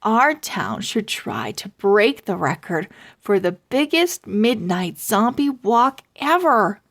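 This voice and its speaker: A woman's voice: medium pitch, big pitch swings, takes it slowly, fairly bright tone, full character voice. A woman voicing an eager, earnest young boy in a children's storybook.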